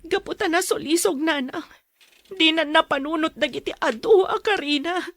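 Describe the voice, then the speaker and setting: A woman acting as a betrayed young wife with a very high voice, close and dry. She is hurt and tearful, performing in an audio drama. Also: normal speed, a bit bright, dry recording. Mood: sad, hurt.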